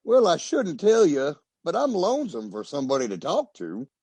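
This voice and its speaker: A man's voice: fairly high pitch, huge pitch swings, moderate pace, warm tone, breathy edge, no echo, exaggerated voice. An actor playing a lonesome, boastful, self-pitying pickle tycoon in a 1940s-style radio comedy, rambling as he talks. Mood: lonesome boasting.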